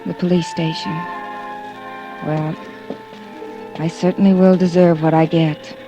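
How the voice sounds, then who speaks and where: A woman's voice: on the low side, little pitch movement, music in the background. Anguished, guilt-ridden young woman, radio drama. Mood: numb, resigned. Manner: halting, anguished, self-accusing. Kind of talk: 1940s-50s radio drama acting.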